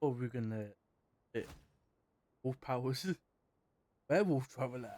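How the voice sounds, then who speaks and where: A man's voice: mid-range voice, unhurried, a little mellow. A man giving casual, crude Let's Play commentary, often laughing as he reacts. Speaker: gaming streamer.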